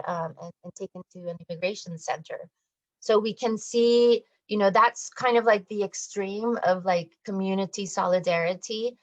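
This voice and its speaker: Lower-pitched woman campaigner, dull audio. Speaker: campaigner, radio guest. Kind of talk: earnest spoken interview answer.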